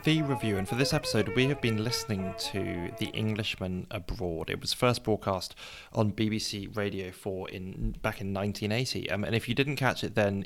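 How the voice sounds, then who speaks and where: A man's voice: fairly low pitch, vocal fry. A man co-hosting a podcast, introducing items and posing questions in an informal, conversational style. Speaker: co-host of a cricket history podcast.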